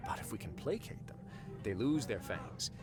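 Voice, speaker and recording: An actor playing a composed male warden of a fortress, speaking in a calm, formal, measured way. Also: on the low side, lightly acted. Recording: game audio with clear music. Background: game music.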